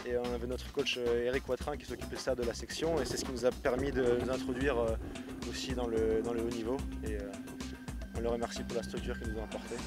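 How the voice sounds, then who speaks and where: Young man, from France, kitesurf athlete, halting speech, music in the background. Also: mid-range voice, level tone. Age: young adult. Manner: hesitant, many fillers and restarts. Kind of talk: casual interview answers. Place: outdoors at a beach resort.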